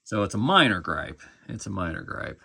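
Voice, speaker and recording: A man's voice: low voice, sing-song, normal speed, vocal fry, pushed and bright, husky. A man giving a casual, informal collector's product review, speaking hesitantly as he trails off and circles back over his points.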